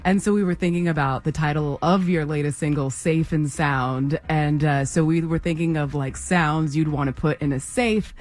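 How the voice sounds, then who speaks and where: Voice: mid-range voice, normal speed, few pauses, darkish tone. A radio host in a studio, running a casual interview with a chatty, rambling way of asking questions and frequent laughter.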